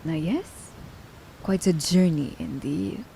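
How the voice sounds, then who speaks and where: Low, mellow, sly assassin woman, acted for ASMR. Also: takes it slowly, vocal fry, slight background noise, low-quality recording. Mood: cool, scheming. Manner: teasing, haughty, speaks to listener as her captive pet. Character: sly, commanding female assassin, teasing captor of a werewolf. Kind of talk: ASMR roleplay acting.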